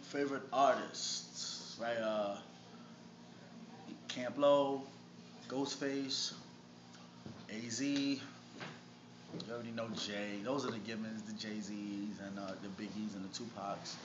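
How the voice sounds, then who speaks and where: A man's voice: fairly low pitch, steady tone, takes it slowly, long pauses. A man from Harlem with a New York accent, talking casually and in slang as a rapper being interviewed. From Harlem, New York City. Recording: noisy, muffled, echoey room recording.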